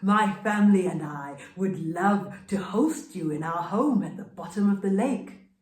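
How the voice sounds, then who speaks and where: Storybook villain: male crocodile, played by a woman, slow, savoring, swooping delivery, sly false friend, roomy echo. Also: medium pitch, lively intonation, a little mellow, lightly acted. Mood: falsely warm.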